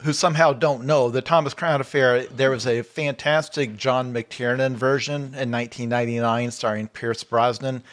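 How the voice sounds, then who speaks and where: Animated storytelling man on a podcast. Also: mid-range voice, moderate pace, few pauses. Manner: animated storyteller, acts out quotes in raised voices. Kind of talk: casual podcast chat.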